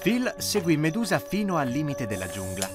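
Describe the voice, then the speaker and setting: A man narrating a cartoon fairy tale in an expressive storytelling way. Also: fairly high pitch, normal speed. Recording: music behind voice.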